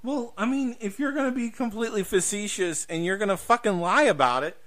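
Guy podcast host ranting his opinions, kinda high bright voice, swears, really emphatic. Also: a little fast. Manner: emphatic, swearing, repeats points for stress. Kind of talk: opinionated casual discussion.

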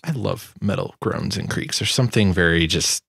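A man in his twenties talking casually through his sound design work, relaxed and self-deprecating, close to the microphone. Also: low voice, normal speed, creaky, slightly rough, dry recording. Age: 20s. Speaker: sound designer hosting a tutorial. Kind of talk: casual screen-share walkthrough. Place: small home studio room. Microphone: close boom-arm microphone.

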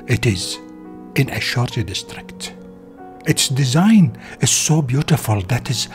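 A man reads a scripted historical narration as a documentary narrator. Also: mid-range voice. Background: background music.

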